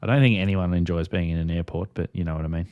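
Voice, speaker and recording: An Australian man with a low, smooth voice talks casually as a host. His delivery is chatty and informal, and the recording is dry and close. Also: rapid-fire, darkish tone, no room sound, highs cut off. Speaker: host of a ham radio livestream. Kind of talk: casual group chat.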